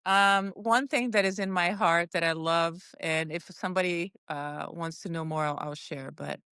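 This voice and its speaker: A woman's voice: lowish voice, steady tone, moderate pace, sharp tone, clean tone, no room sound, clean studio sound. A middle-aged woman whose first language is Portuguese, a guest wellness coach talking casually and encouragingly on a podcast, with frequent ums. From Brazil.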